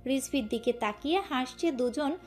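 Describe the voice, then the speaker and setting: Woman, high voice, scripted romantic storytelling, narrator, music underneath. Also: normal speed, darkish tone.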